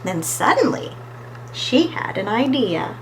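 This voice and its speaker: A woman reading a children's story aloud as an expressive storytime narrator, recorded with faint background noise. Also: fairly high pitch, takes it slowly, poor audio. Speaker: storytime reader.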